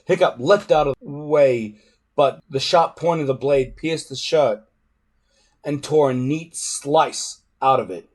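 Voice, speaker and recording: A man reading a storybook aloud in an expressive, dramatic way. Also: a little high, unhurried. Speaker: reader.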